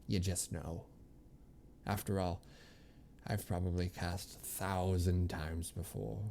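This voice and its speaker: A man's voice: sits low, level tone, slow pace, creaky, dark tone, a little hiss or hum, full character voice. A man voicing a kindly, wistful old woman, a former nursemaid and healer, in a story read aloud. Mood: fond, reminiscing.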